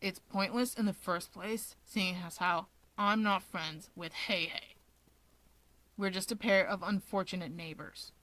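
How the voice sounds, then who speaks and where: A woman voicing an irritated, menacing young man in a story reading, speaking in a flat, dry, deadpan way. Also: mid-range voice, a little slow, low-quality recording. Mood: irritated, threatening. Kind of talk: expressive fan fiction character reading.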